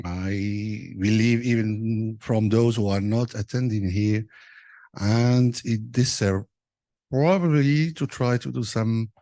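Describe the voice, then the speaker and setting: A man's voice: mid-range voice, a little mellow, dry recording. A man making an unscripted comment in a conference discussion, hesitant, often repeating and rephrasing his words. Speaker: meeting participant giving a comment.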